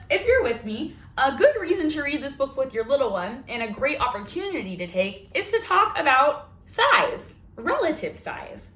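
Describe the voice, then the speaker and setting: A woman's voice: high voice, fairly bright tone. A woman hosting storytime for young children, reading aloud and talking in an exaggerated, sing-song way. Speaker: children's librarian, storytime host. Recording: echoey room.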